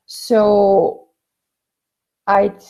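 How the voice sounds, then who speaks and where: A woman's voice: mid-range voice, monotone, very slow, gravelly, mellow, poor audio. A woman career coach answering viewer questions in a conversational way, with many fillers and restarts. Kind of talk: live Q&A career advice.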